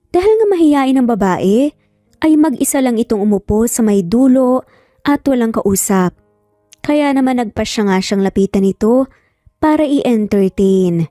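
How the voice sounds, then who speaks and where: A woman's voice: a little high, dark tone, no echo, clean studio sound. A Filipino woman narrating a scripted romance story.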